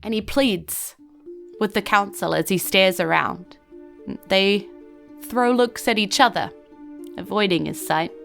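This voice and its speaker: A woman's voice: medium pitch, a little slow, vocal fry, pauses often, a bit bright, faint music behind. A woman narrating a scripted fantasy audio drama as a storyteller.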